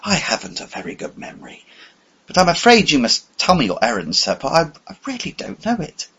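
A man voicing a bewildered, polite elderly English gentleman who hesitates and stammers, his voice climbing high. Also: huge pitch swings, brisk, breathy edge, highs cut off, full character voice. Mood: flustered.

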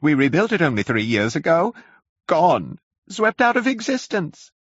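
A man voicing a panicked curate, half-mad with fear, wailing in a high, shrill voice. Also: very animated, moderate pace, a bit bright, no echo, lo-fi sound. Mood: frantic.

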